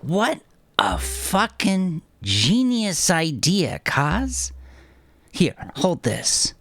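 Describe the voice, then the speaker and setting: A man's voice: high-pitched, big pitch swings, extremely slow, a bit bright, no room sound, voice pushed up, heavily acted. A man voicing a cocky, sadistic male gangster in an audiobook, wisecracking and profane with theatrical swagger. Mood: playful swagger. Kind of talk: audiobook character dialogue.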